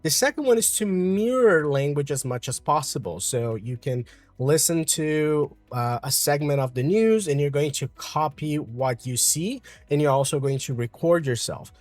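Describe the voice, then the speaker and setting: A man from Brazil with a Brazilian Portuguese accent, calmly explaining an English lesson with frequent fillers. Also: on the high side, moderate pace, no echo, faint music behind. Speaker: English teacher.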